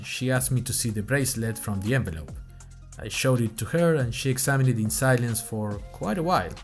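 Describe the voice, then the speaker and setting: A man's voice: mid-range voice, lightly acted. Male actor for wry, bemused college-age guy, fiction podcast diarist, mid-range voice, musing first-person narration. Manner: dry, wry, musing in short deliberate phrases. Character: bemused, wry male university student keeping an audio diary.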